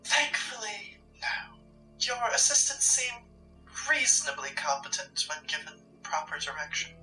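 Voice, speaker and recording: A woman plays a guarded, pragmatic occult shopkeeper in a horror drama. Her sharp, forceful voice is curt and dry, heard from a little way off in a roomy space with music behind. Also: low-pitched, very animated, unhurried, heavily acted. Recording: roomy, distant mic with music.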